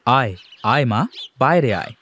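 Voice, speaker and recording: A man's voice: medium pitch, moderate pace, a bit bright, close to an everyday voice. A man playing a concerned, caring village father in a cartoon, speaking expressively. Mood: calling. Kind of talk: Bengali cartoon voice acting.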